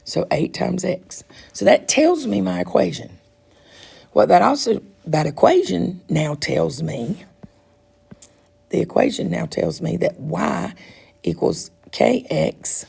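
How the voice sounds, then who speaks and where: Raspy, congested woman teaching math. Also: fairly low pitch, lively intonation, slow pace, darkish tone. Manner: patient, step-by-step explaining. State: congested, froggy throat. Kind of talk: recorded math lesson walkthrough.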